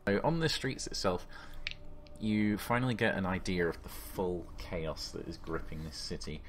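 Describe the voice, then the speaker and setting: A man's voice: low-pitched, animated, moderate pace, slight background noise. A man improvising narration as game master of a tabletop role-playing game, speaking informally with some swearing.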